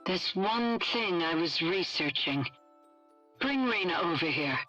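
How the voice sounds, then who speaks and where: A grave, earnest mother and lab chief from a video game drama. She speaks slowly and with feeling in a slightly low voice, over music in a dull recording. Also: animated, pushed and bright, full character voice. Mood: urgent. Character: grave, urgent scientist mother, head of a laboratory. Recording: dull lo-fi sound, music underneath.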